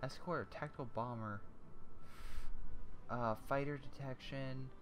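A man's voice: medium pitch, fairly even intonation, unhurried, background noise. A man in casual multiplayer gaming chat, joking and rambling as he thinks aloud through menus. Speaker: gamer playing a strategy game with friends.

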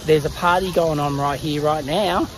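A man's voice: a little high, fairly even intonation, moderate pace. A man in his thirties with an Australian accent, chatting casually as he shows a country town on a walking tour vlog, filmed on a phone held at arm's length. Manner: chatty, easygoing, explains as he films. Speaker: travel vlogger. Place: outdoors in a country town street. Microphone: phone camera at arm's length. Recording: outdoor street noise behind.

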